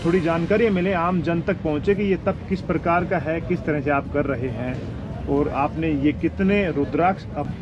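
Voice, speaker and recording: A man's voice: a little high, moderate pace, lo-fi sound, noisy background. A man working as a reporter, doing a conversational on-location interview in a deferential, respectful way. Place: outdoors at a crowded festival ground.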